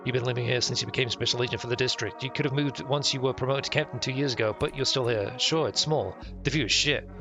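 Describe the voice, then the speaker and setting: A man's voice: medium pitch, fast, few pauses. A man streaming a visual novel, reading the text aloud with irreverent, offhand asides. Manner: irreverent, ad-libs jokes and mutters reactions. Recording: music playing behind the voice. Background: game music.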